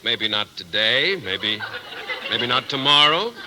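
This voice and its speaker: A man's voice: mid-range voice, lively intonation, takes it slowly. An actor playing a naive, penny-pinching male biology teacher in a 1950s radio sitcom, earnest and a little hesitant. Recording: lightly crackly old radio recording.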